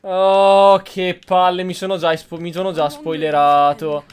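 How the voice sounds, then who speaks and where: Higher-pitched Italian man commentating a game. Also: monotone, moderate pace, smooth. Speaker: gaming video host. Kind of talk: casual gameplay commentary with in-character roleplay.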